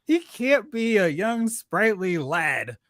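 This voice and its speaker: A man with a fairly high voice hosts a casual pop culture discussion. His pitch swings widely and his talk is slangy and animated. The recording is very dry and close, with hardly any room sound. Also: slow pace, no echo. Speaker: podcast host. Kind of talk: casual panel chat about pop culture.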